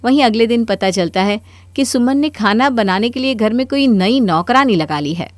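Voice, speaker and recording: A woman's voice: a little high, expressive, normal speed, no echo. A woman narrator reading a scripted Hindi cartoon moral story.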